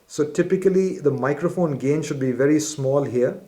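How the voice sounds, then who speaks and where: A man's voice: fairly high pitch, normal speed, mellow. Man giving a methodical product demonstration. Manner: methodical, step-by-step explaining. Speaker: product presenter.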